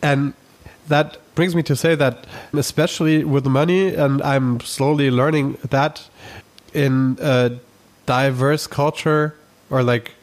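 A man hosting a podcast interview, asking his guest questions in a friendly, measured way. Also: medium pitch, steady tone. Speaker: podcast host.

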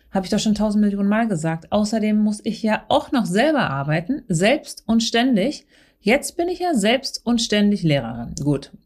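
Brash chatty Berlin woman. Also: a little mellow. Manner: brash, chatty, slangy, mixes in English phrases; playful, theatrical pitch swings. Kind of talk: comic monologue addressed to a friend.

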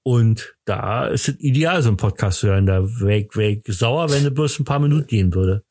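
A man's voice: on the low side, lively intonation, a little fast, darkish tone, no room sound, clean studio sound. Rambling middle-aged man, tipsy podcast chat. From Germany. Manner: rambling, teasing. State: slightly tipsy. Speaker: podcast host. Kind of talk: casual chat.

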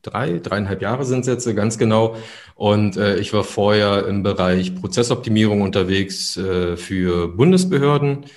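Low-voiced man from Berlin, hesitant. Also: normal speed. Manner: many hesitation fillers, explanatory. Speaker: company executive guest. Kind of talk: podcast interview.

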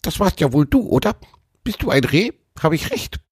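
Happy little bird for a kids story, high squeaky creaky voice, super chatty, dry close sound. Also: male voice, sing-song, moderate pace, no room sound, full character voice. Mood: cheeky, greeting. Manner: colloquial, bubbly, chatty. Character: cheerful little male woodpecker, chatty and friendly traveler. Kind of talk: children's storybook character voice.

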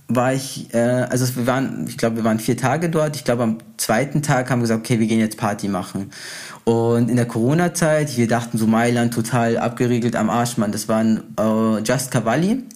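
A man's voice: medium pitch, moderate pace, darkish tone. Young man telling stories in slangy chat. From Brazilian background. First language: Portuguese. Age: young adult. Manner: slangy, boastful, unfiltered. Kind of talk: casual anecdotal chat.

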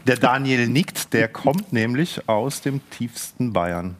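A man's voice: medium pitch, expressive, takes it slowly. A man co-hosting a talk show, chatting casually with dry, joking banter. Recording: loud music behind the voice.

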